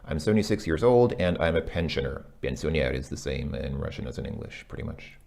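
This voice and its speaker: A man working through a language lesson out loud, hesitant, with many ums and self-corrections. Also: rumbling low, lively intonation, brisk, creaky. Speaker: language learner reviewing a lesson. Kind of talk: casual thinking-aloud commentary.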